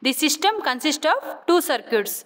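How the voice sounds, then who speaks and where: A woman with a Telugu Indian accent giving a scripted educational lecture as an instructor, deliberate and point by point, mixing in English technical terms. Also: sits high, big pitch swings, a bit bright. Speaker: physiotherapy instructor. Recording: long hall-like reverb.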